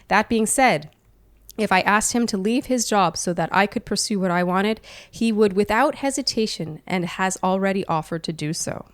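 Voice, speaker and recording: A woman's voice: medium pitch, clear, resonant tone, no room sound. A woman co-hosting an advice podcast, speaking conversationally and reading listener mail. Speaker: podcast co-host answering listener questions. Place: living room, indoors.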